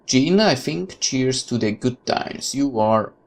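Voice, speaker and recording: A man's voice: mid-range voice, expressive, slow. A man reading a horror visual novel aloud as a let's player, with casual commentary of his own.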